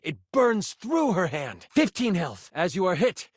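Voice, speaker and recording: An actor playing a theatrical game master who narrates a fantasy adventure with suspense. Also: male voice, high-pitched, wildly swooping pitch, measured pace, breathy edge, no room sound, studio quality, full character voice. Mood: excited. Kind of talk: dramatic second-person scripted narration.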